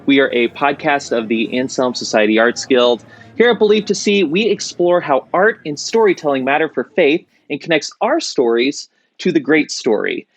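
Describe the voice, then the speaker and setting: A man's voice: fairly high pitch, moderate pace. A man hosting a podcast interview, chatty and joking, often correcting himself as he goes. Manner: joking, rambling with self-corrections. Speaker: podcast host. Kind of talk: casual interview chat.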